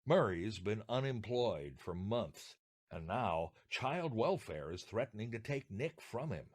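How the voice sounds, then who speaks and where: A man's voice: lowish voice, big pitch swings, a little slow, a little mellow. A man co-hosting a podcast, reading scripted introductions. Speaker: podcast co-host.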